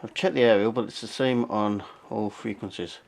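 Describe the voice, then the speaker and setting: A man's voice: lowish voice, steady tone, moderate pace, vocal fry. A man giving a casual, jokey, muttering running commentary as he hosts a hands-on demonstration vlog. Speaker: video host. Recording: noisy amateur camera audio.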